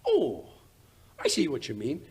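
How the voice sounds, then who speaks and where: Man acting as flustered English gentleman, highish husky voice, blustery, stammering, good-natured, radio drama. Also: wildly swooping pitch, a little slow, full character voice.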